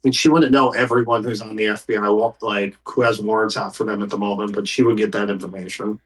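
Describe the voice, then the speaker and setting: A man's voice: lowish voice, fairly even intonation, rough recording. Conversational man running a tabletop game. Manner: conversational, halting, voices characters in plain speech. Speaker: game master. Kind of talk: narrating a tabletop game, improvised.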